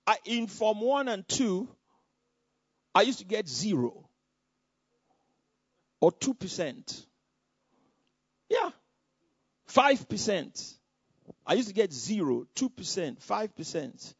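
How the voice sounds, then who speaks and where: Animated Nigerian preacher, higher voice. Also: male voice, takes it slowly, long pauses, husky, dry recording. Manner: animated storytelling with repetitions and laughter. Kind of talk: sermon testimony. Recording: dull lo-fi sound.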